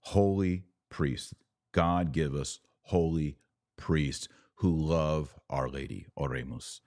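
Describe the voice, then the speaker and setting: An American man in middle age speaks in a very deep bass register. He delivers religious commentary like a podcast host, emphatic and preachy but with folksy, conversational phrasing. The sound is dry and close to the microphone. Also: takes it slowly, darkish tone, dry recording. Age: middle-aged.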